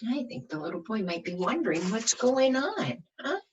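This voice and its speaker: A woman reading a picture book aloud to young children like a teacher, expressive, often asking the listeners questions. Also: a little high, normal speed, muffled recording, a little hiss or hum. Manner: asks the listeners questions, dramatic emphasis.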